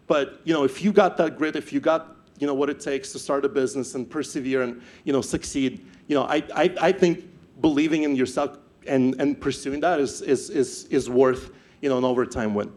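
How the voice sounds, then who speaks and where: A young man from Ukraine with a Ukrainian accent, telling his startup story on stage, animated and often repeating words as he restarts. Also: a little high. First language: not English. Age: young adult. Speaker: startup founder speaker.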